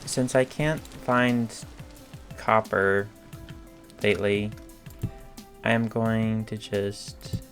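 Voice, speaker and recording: Slow, gravelly hoarse man. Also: lowish voice. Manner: slow, hesitant thinking aloud with many fillers. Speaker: gameplay video creator. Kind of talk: casual commentary over gameplay. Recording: clear game music under the voice. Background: game music and rain sounds.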